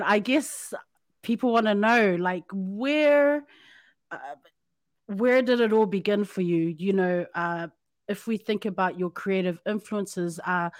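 A woman's voice: medium pitch, no room sound, studio quality. A woman hosting a podcast interview, upbeat and chatty, laughing often and using casual slang. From Samoan community in New Zealand. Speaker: podcast host. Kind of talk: warm interview chat.

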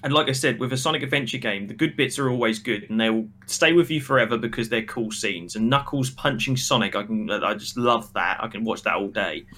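A man's voice: fairly low pitch, brisk, hardly any pauses, bright, forceful tone. A man co-hosting a podcast, giving casual, blunt and critical opinions in a film review discussion.

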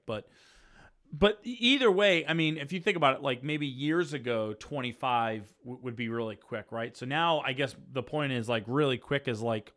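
A man's voice: medium pitch, fairly bright tone. Chatty thirty-something man hosting a podcast. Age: 30s. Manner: rambling, joking, full of fillers and restarts. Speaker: podcast host. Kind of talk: casual chat.

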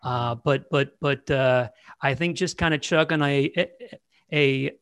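A man's voice: medium pitch, a little slow, a bit bright, clear, resonant tone, no echo. A man in his forties answering as a podcast guest, hesitant, with many fillers and repeated words. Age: 40s. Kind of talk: casual interview answer.

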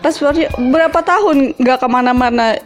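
A young woman with a slightly high voice chats casually as co-host. She giggles often, asks questions and encourages her partner. Soft music runs faintly underneath. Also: moderate pace. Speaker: podcast co-host. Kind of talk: casual chat.

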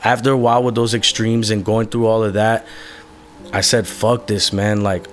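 Low-voiced young man, rambling and candid. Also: little pitch movement, normal speed, slight background noise. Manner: rambling, filler-heavy, casual swearing. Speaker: musician being interviewed. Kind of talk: candid personal conversation.

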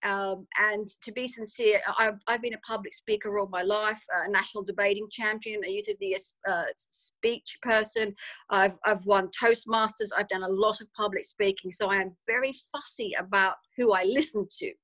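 A woman's voice: medium pitch, fairly even intonation, moderate pace, bright, forceful tone, low-quality recording. A woman with an Australian accent, an author answering interview questions in a chatty way, often repeating words and using fillers. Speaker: author guest.

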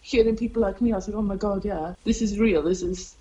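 A young Welsh woman talks loosely and warmly in an interview, full of fillers and restarts. Her voice sits at an ordinary middle pitch, and she laughs at herself often. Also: steady tone, fast, lo-fi sound, noisy background. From South Wales. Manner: chatty, rambling, many fillers. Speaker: stand-up comedian, guest. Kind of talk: casual interview chat.

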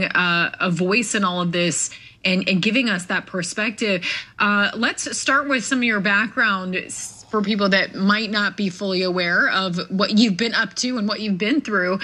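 Female broadcast interviewer, crisp bright edge, mid-pitched voice. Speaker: guest host interviewer.

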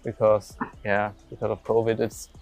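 A man's voice: fairly low pitch, completely flat delivery, normal speed, darkish tone, dry recording, poor audio. A young man from Germany answering interview questions about his football career, hesitant, with many fillers and restarts. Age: young adult.

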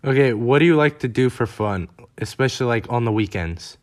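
A man's voice: medium pitch, animated, a little fast, a little mellow. A man conducts an interview, putting brief, plain questions to his guest. He hesitates now and then with "um" and "uh.".

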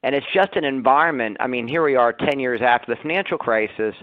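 Halting male analyst on dry phone line. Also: mid-range voice, no echo. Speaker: financial analyst asking questions. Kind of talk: spontaneous question on earnings call.

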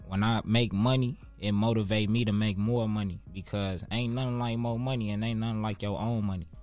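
Low-voiced young Chicago man, slangy and emphatic. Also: steady tone, brisk, darkish tone, soft background music. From Chicago, United States. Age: 20s. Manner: street slang, profane, emphatic repetition. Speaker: interview guest, rapper. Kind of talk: casual interview talk.

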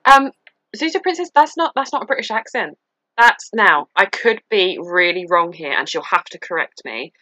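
A chatty, effusive woman co-hosting a casual podcast. Her voice is bright and very lively in pitch, and the sound is close and dry. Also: moderate pace, no echo. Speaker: podcast co-host. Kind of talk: casual chat about a TV series.

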